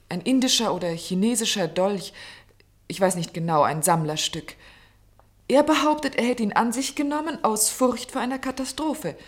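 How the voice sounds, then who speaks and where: A woman's voice: on the low side, natural voice. A woman playing a determined, idealistic woman in a German radio drama, speaking earnestly, insistently and articulately. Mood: earnest explaining. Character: determined, idealistic woman pleading to save a condemned man.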